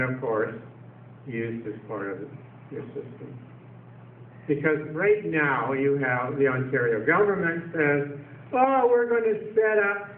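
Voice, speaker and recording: A man's voice: on the high side, a little hiss or hum. An older man from Canada giving a seminar talk to an audience, sardonic and full of rhetorical questions. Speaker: seminar speaker. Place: council chamber, echoey hall. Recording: distant echoey lo-fi hall recording.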